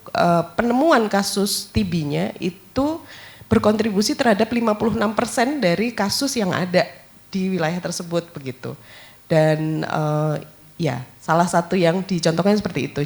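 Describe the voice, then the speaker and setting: A woman's voice: on the low side, a little mellow. A woman, an author answering audience questions at a book launch, explaining at length with many hesitations. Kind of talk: academic Q&A answer.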